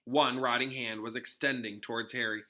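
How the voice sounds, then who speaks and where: A man, a teacher, reading a novel aloud as expressive storybook narration. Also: medium pitch, moderate pace.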